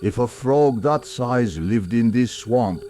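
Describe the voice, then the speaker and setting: An actor playing a droll, mocking orc comrade in a fantasy audio drama. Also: male voice, medium pitch, expressive, slow, dark tone, a little hiss or hum. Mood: teasing. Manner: droll, teasing.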